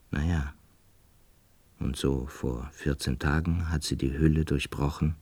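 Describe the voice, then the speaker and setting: A man's voice: very deep voice, a little mellow, dry recording, exaggerated voice. An actor playing a pensive, resigned man in a radio play, recounting and explaining things in a hesitant, musing way. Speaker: narrator and lead character of a radio play. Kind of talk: intimate reflective radio drama narration and dialogue.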